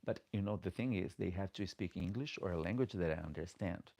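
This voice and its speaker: Low, gravelly man with Portuguese accent. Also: a little fast, warm tone. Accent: Portuguese-speaking. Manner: chatty, reads comments aloud, pleads for likes. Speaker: drag queen livestreamer. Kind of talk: casual live chat. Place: home, late at night.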